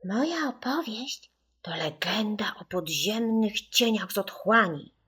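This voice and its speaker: A woman's voice: medium pitch, animated, breathy edge, heavily acted. Woman as a whispering girl telling spooky tales. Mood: eerie. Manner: hushed, near-whisper, slow, ominous. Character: young girl telling a spooky legend to friends.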